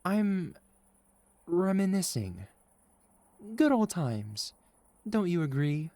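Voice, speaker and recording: A man playing a sweet, caring, gently teasing boyfriend in a soft, reassuring ASMR roleplay. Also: sits high, very animated, slow, no room sound, full character voice. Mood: affectionate, playful. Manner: soft, tender, reassuring, playful. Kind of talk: ASMR boyfriend roleplay, intimate scripted monologue.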